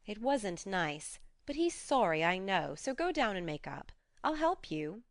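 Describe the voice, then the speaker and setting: A woman voicing a spirited, frank young woman who talks briskly and coaxingly. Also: medium pitch, sing-song, dry recording, studio quality.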